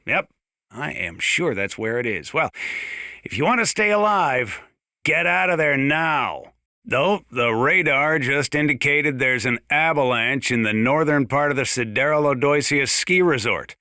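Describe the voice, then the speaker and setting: Casting: old American sheriff for a game radio station, folksy, drily humorous, rambling, crisp forceful edge, dry booth sound. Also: male voice, mid-range voice, no echo. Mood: wry, warning. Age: older. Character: folksy old small-town sheriff giving wry emergency broadcasts. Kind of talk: video game radio announcement acting.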